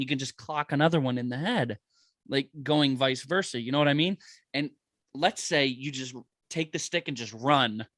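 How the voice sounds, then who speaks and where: A man co-hosting a podcast in casual chat, animated and saying "bro" and "dude" a lot. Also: medium pitch, no room sound. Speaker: podcast co-host.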